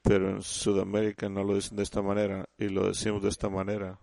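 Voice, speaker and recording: A man giving a sermon in halting speech, often restarting and repeating words as he teaches the congregation. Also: lowish voice, completely flat delivery, gravelly, a little mellow, dry recording. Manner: addresses listeners as "usted". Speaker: church speaker.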